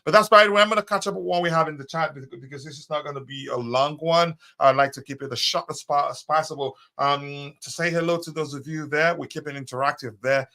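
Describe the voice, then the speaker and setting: A man's voice: on the high side, quick pace, few pauses, pushed and bright. A man hosting a live fan show, chatting casually with many fillers and greeting viewers by name. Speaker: host of a live fan stream. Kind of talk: casual live-stream chat.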